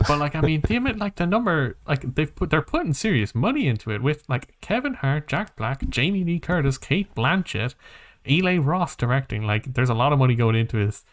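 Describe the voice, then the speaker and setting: A man's voice: fairly high pitch, sing-song, fairly quick. A man hosting a casual podcast about games and films, thinking aloud in a hesitant, rambling way. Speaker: podcast host.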